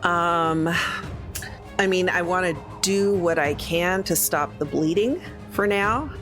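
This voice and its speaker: A woman's voice: on the low side, slow pace. A woman talking casually as a player in a tabletop roleplaying game. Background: background music.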